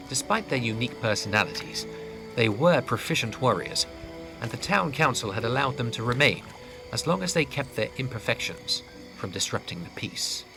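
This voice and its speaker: A man narrating a scripted fantasy audio drama as storyteller, with a gravelly voice. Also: medium pitch, low-quality recording. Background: clear background music.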